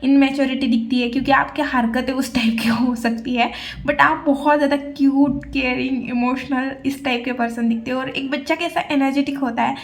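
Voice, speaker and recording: A woman's voice: high-pitched, steady tone, moderate pace. A woman giving a casual tarot reading to camera, chatty and hesitant, with many fillers. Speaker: tarot reader.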